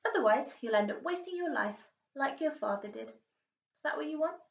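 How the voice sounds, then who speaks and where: A woman voicing a stern, scornful mother scolding her daughter in a storybook, haughty and sharp. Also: high voice, lively intonation, moderate pace, vocal fry, pitched above the performer's own voice. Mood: angry. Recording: muffled, echoey room, distant mic.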